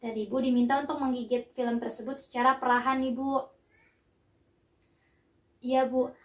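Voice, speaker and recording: A woman's voice: sits high, steady tone, normal speed. A young woman narrates a scripted instructional demonstration, explaining each step politely and carefully. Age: young adult. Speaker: dental student demonstrating a procedure. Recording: echoey room with music behind.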